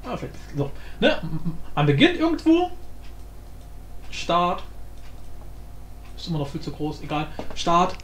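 A man giving an informal screencast tutorial, explaining haltingly, repeating words and often adding little tag questions. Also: fairly high pitch. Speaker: tutorial host. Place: indoor room, screen-recording voice-over. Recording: roomy, echoing home recording.

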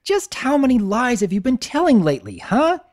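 A woman's voice: medium pitch, lively intonation, normal speed, a little mellow, pitched above the performer's own voice, natural voice. A woman voicing a stern young female pony who lectures indignantly. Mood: angry.

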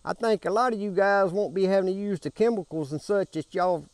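An older man with a drawl talks in a folksy, down-home way, often repeating his points. His voice sits fairly high, and the sound is dry and close, with no room echo. He is outdoors beside a pool, speaking to a camera a few feet away. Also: fairly quick, a little mellow. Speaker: homestead vlogger. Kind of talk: casual how-to talk to camera.

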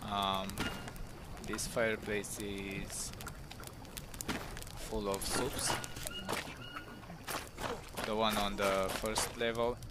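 Hesitant man over loud game noise. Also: fairly low pitch, little pitch movement, extremely slow, long pauses. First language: not English. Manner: hesitant, many ums. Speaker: channel host and player. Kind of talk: casual gaming voice chat.

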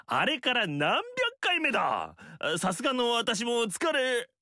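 A man's voice: very high voice, huge pitch swings, slow pace, pushed and bright, no room sound, studio quality, exaggerated voice. An actor playing a pompous, haughty middle-aged noble lord who lectures a young girl in a game. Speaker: game character. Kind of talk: game story dialogue.

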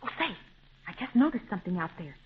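A woman's voice: lowish voice, sing-song, fast, slightly rough, heavily acted. A woman playing an earnest, plucky, girlish young adventurer in a 1930s radio serial. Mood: eager. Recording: thin, phone-like 1930s radio recording.

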